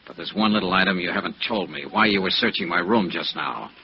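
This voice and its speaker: An actor playing a tough, sarcastic American insurance investigator in a clipped, hard-boiled 1950s radio noir style. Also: male voice, sits low, fast, creaky. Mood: terse, probing. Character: tough, sardonic male freelance insurance investigator. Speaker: radio drama lead actor. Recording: dull vintage radio recording.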